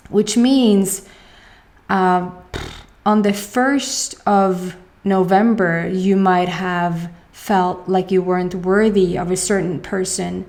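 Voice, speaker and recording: Woman, Swedish accent, young adult, mellow tone, casual tarot reading, rambling with fillers. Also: medium pitch. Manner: rambling, searching for words, many fillers. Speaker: tarot reader.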